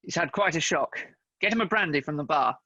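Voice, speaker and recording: A man's voice: a little high, lively intonation, fairly quick, sharp tone, slight background noise. A man playing a polite, earnest undercover detective posing as a waiter in a comic radio play. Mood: earnest, taking charge. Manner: courteous, earnest. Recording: dull home recording, music underneath.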